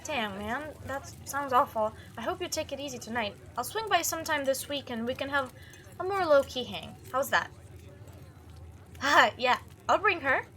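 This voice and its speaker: Woman voicing a bubbly, high-pitched young video maker. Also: animated, normal speed, sharp tone. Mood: friendly, sympathetic. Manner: bubbly, casual, enthusiastic. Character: upbeat, chatty young woman monster-hunting video maker. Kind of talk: visual novel voice-over read-through.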